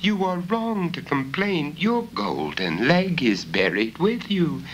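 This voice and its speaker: A prim lady's maid, as a man plays her, scolds politely in a storybook tale. The voice is formal, pitched up and very inflected. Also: very animated, slow, lo-fi sound, slight background noise. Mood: prim reproving.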